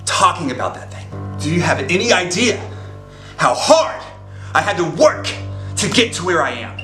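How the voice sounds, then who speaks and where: An actor playing a menacing, sarcastic criminal who swings between quiet threat and outburst in a film drama. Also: male voice, high-pitched, sing-song, moderate pace, exaggerated voice. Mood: bitter, intense. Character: menacing, volatile, sarcastic male criminal boss. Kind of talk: dramatic film acting, profane dialogue. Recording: roomy echo, music behind.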